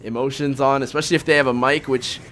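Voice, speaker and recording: A man's voice: medium pitch, fairly even intonation, quick pace, fairly bright tone. A young man hosting a gaming show, chatting casually in a rambling, hedging way. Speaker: host of a gaming video series. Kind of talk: casual chat over gameplay.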